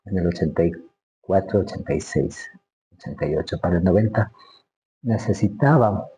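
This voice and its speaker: An older man with an Ecuadorian accent interviewing in a casual way, halting and repeating phrases as he searches for words. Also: low-pitched, mellow, a little hiss or hum, rough recording.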